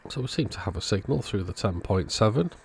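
Low gravelly man at the workbench. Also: a little fast. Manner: calm, methodical, thinking aloud. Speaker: electronics repair video host. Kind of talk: casual narrated repair walkthrough. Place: home electronics workbench.

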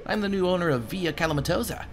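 A man's voice: high-pitched, racing, faint music behind, pitched above the performer's own voice. A man voicing an exasperated, sarcastic adventurer, swinging wildly. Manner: excitable, sarcastic, theatrical. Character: exasperated, sarcastic male adventurer and new homeowner.

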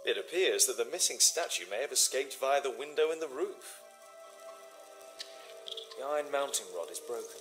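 An actor playing a composed Victorian master detective in a video game, formal, clipped and coolly inquisitive. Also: male voice, mid-range voice, animated, normal speed, pauses often, music in the background, close to an everyday voice. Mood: analytical. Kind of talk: video game dramatic acting.

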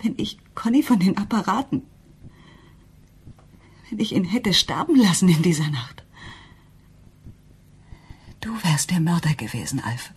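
Radio crime drama: accusing, anguished wife, very raspy-breathy, expressive pitch movement. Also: female voice, a little slow, pauses often, exaggerated voice. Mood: bitter, accusing. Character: distraught, accusing wife of a sick musician.

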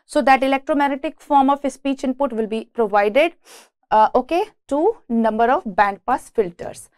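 High-voiced Indian woman lecturing. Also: a little mellow, smooth, no room sound, clean studio sound. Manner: explanatory, halting, often repeating words. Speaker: engineering lecturer. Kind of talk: classroom lecture explaining slides. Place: indoors, beside a presentation screen.

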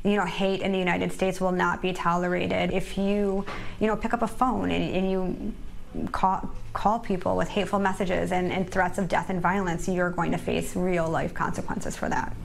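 Woman, middle-aged, vocal fry, lowish even pitch, interview, background noise. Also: normal speed. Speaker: staff attorney, interviewee. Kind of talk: television news interview. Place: small office, indoors. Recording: clear background noise and clicks.

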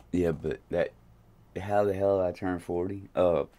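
A man's voice: low voice, fairly even intonation, slow, mellow. A man in his late thirties, hosting a music reaction in a small room at his webcam, rambling with lots of fillers. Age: late 30s. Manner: hesitant, rambling, many fillers. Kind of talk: casual reaction commentary.

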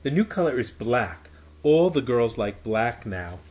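Mean schoolgirl for a picture book, read by a man: snooty, scornful, emphatic, swooping pitch. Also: big pitch swings, a little slow, a little mellow, slight background noise. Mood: taunting. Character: snooty, taunting schoolgirls who scorn pink.

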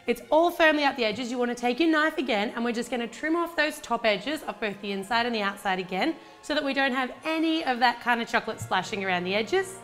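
A woman's voice: on the high side, fairly bright tone. Upbeat Australian woman demonstrating a recipe. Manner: chatty, upbeat step-by-step instructions. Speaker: baking channel host. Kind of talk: cooking demonstration. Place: home kitchen, indoors.

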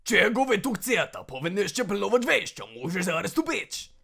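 A villainous crime boss in a comic dub. The voice is high and creaky with a Russian accent, swooping theatrically as he boasts and fumes. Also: animated, moderate pace, sharp tone, exaggerated voice. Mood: demanding, irritated. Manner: theatrical, mixes Russian words into speech. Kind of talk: comedic dubbed dialogue.

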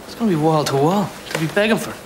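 A man's voice: on the high side, lively intonation, rapid-fire, background noise, close to an everyday voice. An actor playing an eager young workman with a Dublin accent in a naturalistic television drama. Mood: frustrated, eager.

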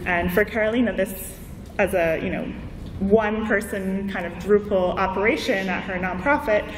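A woman's voice: mid-range voice, normal speed. A woman giving an informal conference presentation as a keynote speaker, explaining in a conversational way through examples. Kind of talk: informal slide presentation to an audience. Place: large indoor conference room. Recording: echoey hall, distant mic, background noise.

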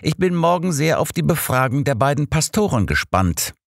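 Guy reading as an old detective in an audiobook, composed, brisk, analytical, voice raised a bit from his narration. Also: a little high, big pitch swings, vocal fry, no echo, studio quality, voice pushed up, heavily acted. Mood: reflective, analytical. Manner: analytical, matter-of-fact.

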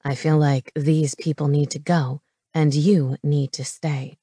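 A woman's voice: deep voice, level tone, measured pace, warm tone, slightly rough, no echo, clean studio sound, pitched below the performer's own voice. A woman voicing a lustful, domineering young male wolf shifter in an audiobook, with a growling, commanding delivery. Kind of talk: paranormal romance audiobook character.

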